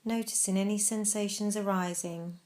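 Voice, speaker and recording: A woman narrating a guided mindfulness meditation, giving gentle, measured instructions with pauses. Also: mid-range voice, steady tone.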